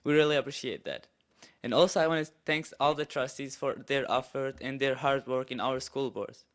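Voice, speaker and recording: A man's voice: medium pitch, steady tone, moderate pace, fairly bright tone. A teenage boy giving an earnest, prepared presentation at a school board meeting. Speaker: student presenter.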